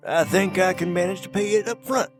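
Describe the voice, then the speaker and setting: A man playing a jittery, secretive old gold prospector in a western audio drama, creaky and stammering, with a rural American accent. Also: high voice, brisk, over music, natural voice. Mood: nervous, evasive. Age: older. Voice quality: creaky, cracked. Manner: stammering, nervous, repeats himself.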